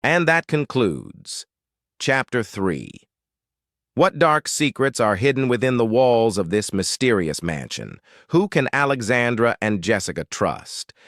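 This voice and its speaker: A man's voice: mid-range voice, very animated, measured pace, a bit bright, no echo, studio quality. A man narrating a suspenseful mystery story in a dramatic, scripted style.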